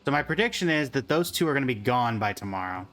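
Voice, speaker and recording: A man's voice: medium pitch, big pitch swings, quick pace, a bit bright. A man giving casual reaction commentary on a game, musing aloud with wry asides.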